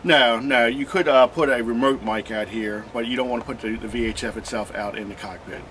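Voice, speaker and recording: A man's voice: mid-range voice, brisk, fairly bright tone, slight background noise. A man giving informal, practical advice in conversation as an experienced marine industry advisor.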